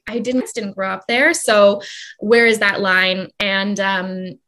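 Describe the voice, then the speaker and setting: A woman's voice: medium pitch, fairly even intonation, takes it slowly, sharp tone. A woman from Canada, speaking as an interview guest in a long, reflective way with many fillers and restarts. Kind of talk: conversational interview.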